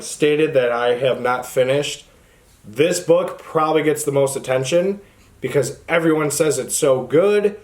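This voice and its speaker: A man hosting a book channel, talking casually to the camera in an informal, rambling way. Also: fairly high pitch. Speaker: book review channel host.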